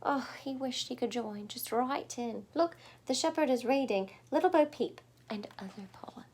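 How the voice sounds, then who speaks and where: A woman's voice: sits high, normal speed, darkish tone, slightly rough. A woman reading a children's story aloud, expressively and with chatty little asides. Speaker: storybook reader.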